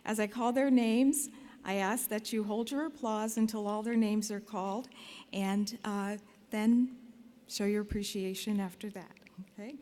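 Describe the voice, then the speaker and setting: A woman presenting faculty at a graduation ceremony, reading names slowly and deliberately. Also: medium pitch, steady tone, mellow. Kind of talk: ceremonial announcing. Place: large indoor arena.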